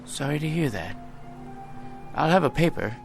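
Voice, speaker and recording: Jaded millionaire, gravelly, wistful, radio drama, faint music under. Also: male voice, on the high side, animated, moderate pace. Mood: weary. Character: weary rich middle-aged businessman. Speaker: wealthy businessman George Hanley.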